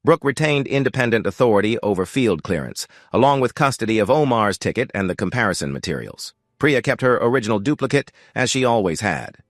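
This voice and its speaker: A man narrating a first-person story in a measured, sober way. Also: on the low side, sing-song, dry recording, studio quality.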